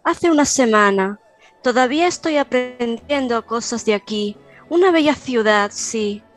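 A woman's voice: on the high side, expressive, measured pace, faint music behind. A woman playing a polite, reserved Italian immigrant mother in a Spanish-language radio soap.

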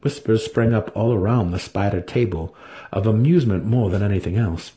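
A man's voice: fairly low pitch, a little fast, dark tone. A man narrating a fantasy novel as a dramatic audiobook reading.

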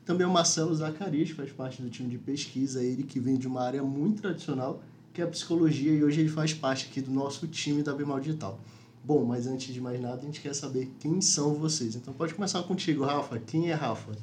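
A man's voice: medium pitch, fairly quick, darkish tone, slight background noise. A man hosting a company livestream, introducing guests in an easy conversational way with frequent tag questions. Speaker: host.

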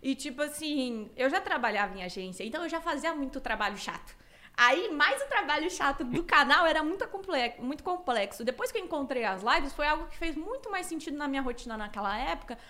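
Bright-voiced young woman, slangy and laughing. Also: sits high, big pitch swings, a little fast. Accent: Brazilian, mixed Cuiabá and São Paulo. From Cuiabá, Brazil. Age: 20s. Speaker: streamer guest. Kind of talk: casual chat.

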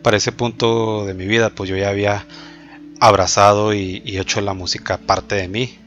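A man gives a personal faith testimony. He talks reflectively and hesitantly, pausing often on filler words. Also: lowish voice, level tone, a bit bright. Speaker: testimony speaker. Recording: dull lo-fi with faint background music.

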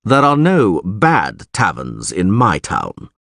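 A hot-tempered middle-aged mayor, voiced by a male narrator, blustering and bellowing at everyone in reach. His husky voice swings from low grumbling up to strained shouts. The sound is dry and close. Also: huge pitch swings, slow pace, dry recording, heavily acted. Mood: stern, mocking.

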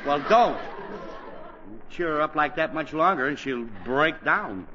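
A man's voice: a little high, expressive, measured pace, crowd noise. A man playing an exasperated middle-aged husband and father in 1940s radio comedy style, sputtering and blustering. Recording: noisy, dull 1940s radio broadcast.

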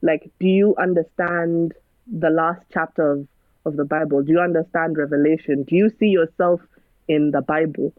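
A woman's voice: sits low, fairly even intonation, moderate pace, dry recording. Earnest woman, tinny phone-like sound. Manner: earnest, preachy, rhetorical questions. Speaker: guest. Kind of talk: casual conversation. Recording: dry, phone-like narrow sound.

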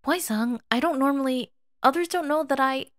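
A woman's voice: sits high, moderate pace, dry recording, studio quality, pitched above the performer's own voice, natural voice. A woman voicing a cheerful, teasing young male student who stammers when rattled, in an audio drama. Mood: flustered.

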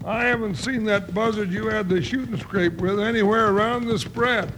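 An American man playing a folksy, loyal, excitable cowboy sidekick with drawling Western slang in an old-time radio western. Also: high voice, moderate pace, lightly acted. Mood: suspicious. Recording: noisy, clicky old radio recording.

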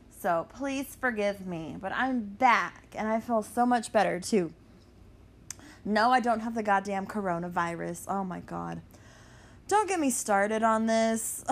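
Woman, young adult, podcast host, slow talker, sweary, blunt casual chat. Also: medium pitch.

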